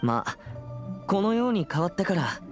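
A man's voice: sits high, sing-song, normal speed, soft background music. Serious teenage boy spirit hunter, polite, composed, swooping intonation, anime game. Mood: calm, pondering. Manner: serious, polite, slightly stiff. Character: earnest, composed teenage boy exorcist hunting evil spirits. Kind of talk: anime game character acting.

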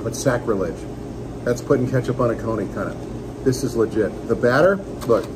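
Brief: man in his forties, upbeat radio-host energy, eating-and-talking vlog in a restaurant, steady room hum behind. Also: mid-range voice, fairly quick. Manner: enthusiastic, joking, talks while eating. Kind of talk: casual restaurant review vlog. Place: small restaurant dining room, indoors. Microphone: phone camera on table.

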